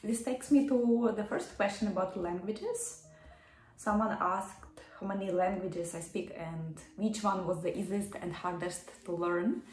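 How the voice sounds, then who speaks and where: A young woman with a Ukrainian accent talks casually to the camera from a small office, friendly and quick to laugh. Her voice sits at a middling pitch. The room gives it a noticeable echo. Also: a little mellow. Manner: friendly, laughs often, careful pronunciation. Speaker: yoga vlogger. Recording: echoey room.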